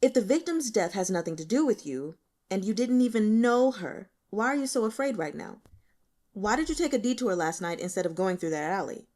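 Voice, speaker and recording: A woman's voice: mid-range voice, very animated, fairly quick, darkish tone. A woman voicing a blunt, stern male police investigator in an audiobook, pressing with pointed questions. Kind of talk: audiobook character dialogue.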